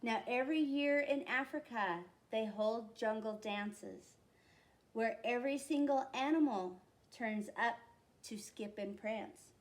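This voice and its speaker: High-voiced woman reading a children's story slowly. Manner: deliberate, dramatic phrasing for children. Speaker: library storytime reader. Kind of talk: expressive children's picture book read-aloud.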